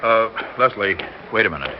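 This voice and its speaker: An actor playing a soothing, placating commercial director in a vintage radio drama. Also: male voice, fairly low pitch, expressive, moderate pace, lo-fi sound, noisy background, natural voice. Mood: coaxing. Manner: soothing, coaxing. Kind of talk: 1960s radio drama acting.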